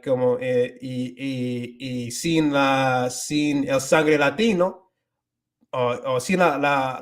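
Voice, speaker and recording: A young man hosting a casual livestream, answering viewer questions in a loose, rambling way full of restarts and filler words, slipping into Spanish with a Dominican accent. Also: medium pitch. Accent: Dominican Spanish accent when speaking Spanish.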